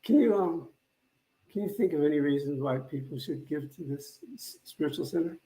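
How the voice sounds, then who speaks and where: A man's voice: on the high side, normal speed, warm tone, rough recording. A man speaking as a minister, informally leading a spiritual service and its affirmative prayer.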